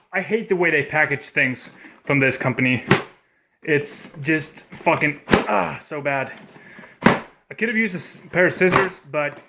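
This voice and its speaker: A young man with a Swedish accent, unboxing computer parts in a casual, excitable vlog at home, swearing and joking as he goes. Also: fairly high pitch, heavy background noise. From Sweden. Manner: excitable, swears a lot, jokey. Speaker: gaming vlogger. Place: at home indoors. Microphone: webcam.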